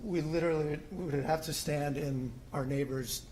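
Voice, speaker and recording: A man's voice: a little high, steady tone, moderate pace, darkish tone, a little hiss or hum. A middle-aged man presenting his own plans to a city commission, informal and hesitant with many ums and restarts. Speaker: homeowner applicant at a city commission. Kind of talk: informal presentation at a public meeting. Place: city hall meeting room.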